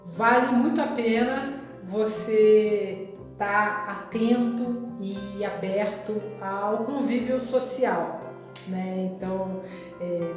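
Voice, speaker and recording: Echoey, slow-talking Rio woman. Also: mid-range voice. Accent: Rio de Janeiro Brazilian Portuguese. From Rio de Janeiro, Brazil. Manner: halting, reflective, pausing often mid-sentence. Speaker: vlogger recounting travel memories. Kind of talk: casual personal storytelling. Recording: very echoey, reverberant room with music.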